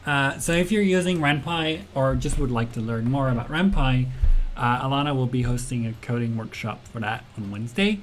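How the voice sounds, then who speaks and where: A friendly man hosting a livestream, talking loosely with plenty of ums and uhs, sometimes laughing as he reads out questions from chat. His voice sits at an ordinary middle pitch. Soft music plays faintly behind him. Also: lively intonation, moderate pace. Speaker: game jam stream host. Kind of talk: casual livestream chat answering viewer chat.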